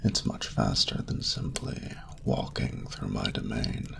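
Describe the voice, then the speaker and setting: Very deep, gravelly flirtatious dragon. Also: male voice, wildly swooping pitch, heavily acted. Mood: flirtatious, grand. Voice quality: gravelly, breathy, half-whispered rumble. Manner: formal, grandiose, unhurried wording. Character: flirtatious, proud male dragon hoarding treasures. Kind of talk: slow, intimate romantic audio roleplay.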